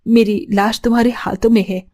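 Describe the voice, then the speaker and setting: Woman as a humble, higher-voiced mother-in-law. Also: fairly even intonation, fairly quick, darkish tone, lightly acted. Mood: apologetic. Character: poor, humble middle-aged mother-in-law, tender and apologetic.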